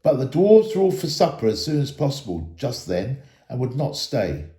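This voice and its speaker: A man giving an animated, expressive reading of a children's fantasy story. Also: medium pitch, moderate pace. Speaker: storybook reader, school staff member. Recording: echoey room.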